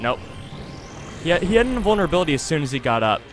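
High-pitched male voice, let's play host, casual commentary, rambling, close desktop mic, game music behind. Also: very animated, rapid-fire. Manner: rambling, talks himself through the action. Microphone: close desktop USB microphone.

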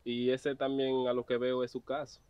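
A man's voice: mid-range voice, monotone, moderate pace, clean tone, dry recording, lo-fi sound, close to an everyday voice. A young man acting as an obedient servant at a farm gate, who talks in a teasing, mocking way. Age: young adult. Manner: teasing, chuckling. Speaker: actor. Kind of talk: web drama acting.

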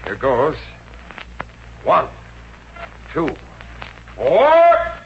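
A man's voice: a little high, wildly swooping pitch, extremely slow, heavily acted. A man playing a boastful, easily rattled golfer who blusters in exasperation in an old radio comedy. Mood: strained confidence. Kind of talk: 1950s American radio comedy acting. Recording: dull, hissy 1950s radio recording.

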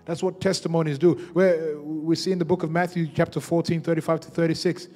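A young man with a high voice preaches on a church stage, speaking into a handheld microphone. He builds to fervent peaks and keeps returning to amen. Soft music plays faintly underneath. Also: little pitch movement, fast. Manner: preaching with rising fervor, repeated amens, handheld mic. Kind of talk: sermon.

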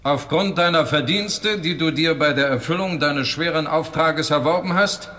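A bright, fairly high male voice rings in a long hall reverb a commanding Grand Duke. He is formal and authoritative, in radio-play style. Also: normal speed, a little hiss or hum. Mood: stately. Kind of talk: German radio play acting.

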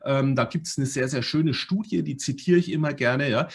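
A middle-aged man giving an animated online conference talk over a webcam, explaining with many fillers and tag questions. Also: mid-range voice, moderate pace, vocal fry, breathy edge. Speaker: language learning speaker. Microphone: webcam on video call.

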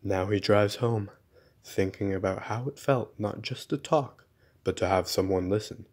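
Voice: low-pitched, a little slow, darkish tone. An actor playing a snarky, dramatic narrator in a radio play, exasperated and secretly lonely. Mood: wry narrating. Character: exasperated, lonely narrator who mocks the man he follows.

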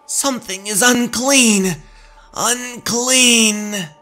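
A man's voice: very high-pitched, bright, forceful tone, faint music behind, pitched above the performer's own voice, exaggerated voice. A man voicing a dramatic old woman healer in a horror story, alarmed and ominous, drawing out the words. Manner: alarmed, ominous, drawn-out delivery.

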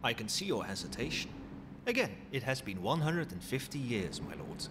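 A man's voice: medium pitch, very animated, normal speed, natural voice. A man playing a courteous, cheeky undead skeleton butler in an ASMR roleplay, with a formal, theatrical manner. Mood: earnest, cheeky. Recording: long hall reverb, noisy ambience.

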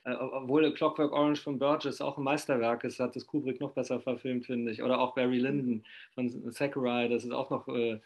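A man's voice: medium pitch, little pitch movement, brisk, highs cut off. A man in his fifties interviewing conversationally over a video call, rambling and often interrupting himself mid-sentence. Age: 50s. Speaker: interviewer, magazine publisher. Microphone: video call microphone at desk distance.

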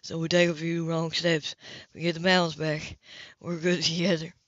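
A woman's voice: low-pitched, little pitch movement, normal speed, dry recording, voice pushed down, close to an everyday voice. Woman voicing a blunt, hot-headed man, muffled recording. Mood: shaken, pleading. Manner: blunt, impatient, sweary. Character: hot-headed male racer, gruff, defensive, earnest lover.

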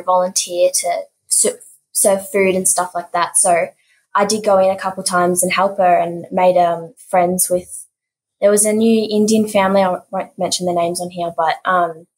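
A teenage student with an Australian accent chatting with many fillers on a video call from a school office. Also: female voice, on the low side, poor audio. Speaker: student guest. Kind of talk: casual chat.

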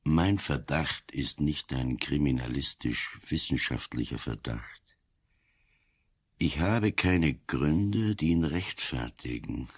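An older man playing a gruff, cunning old police commissioner in a radio drama, slow and deliberate, weighing each word. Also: exaggerated voice. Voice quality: husky, very deep.

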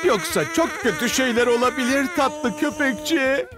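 A narrator giving excited, theatrical commentary on a children's cartoon, full of gasps and exclamations. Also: high-pitched, moderate pace, music in the background.

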